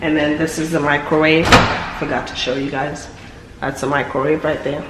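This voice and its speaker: A young woman with a raspy voice from being sick, chatting casually through a tour of her new apartment. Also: low voice, little pitch movement, moderate pace. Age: young adult. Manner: chatty, rambling with many fillers. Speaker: vlogger. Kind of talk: casual home tour vlog. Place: empty apartment, indoors. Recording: echoey empty apartment with hum.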